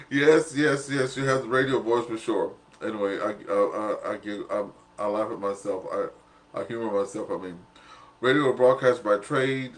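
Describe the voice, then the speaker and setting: A man's voice: fairly low pitch, steady tone, a little slow. A man, chatty, jovial and self-deprecating, hosting a casual amateur radio net. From southern United States.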